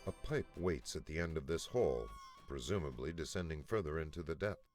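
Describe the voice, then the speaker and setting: A dungeon master for a fantasy video game, with an extremely low voice. He narrates the adventure in measured, scripted second person, with music playing behind him. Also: lively intonation, a little mellow. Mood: ominous, measured.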